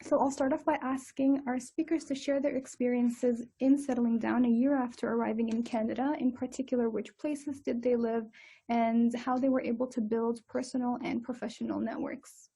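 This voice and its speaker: A woman's voice: on the high side, steady tone, normal speed, creaky, mellow, slightly rough. A woman moderating a panel discussion in a polite, formal, semi-scripted way.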